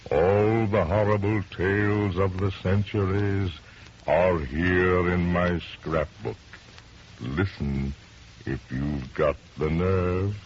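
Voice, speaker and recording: A very slow, low-voiced Devil gloats over his horror tales with theatrical menace. The sound is a muffled, noisy old radio play from the 1930s. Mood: gloating, menacing. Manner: theatrical, menacing, commanding, drawn-out delivery.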